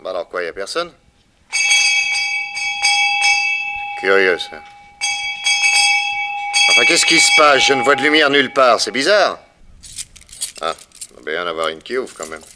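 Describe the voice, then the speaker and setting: An actor playing a brisk, commanding male private detective in a 1960s French radio crime serial. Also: lowish voice, big pitch swings, heavy background noise. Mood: wary, alarmed. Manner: urgent, clipped questioning, reassuring orders.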